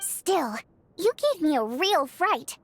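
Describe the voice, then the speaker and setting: An actress playing an excitable, outspoken young woman with a dramatic, chatty delivery in a video game. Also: very high voice, slow pace, no room sound, exaggerated voice. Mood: relieved, cautious. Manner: expressive, exclamatory, chatty.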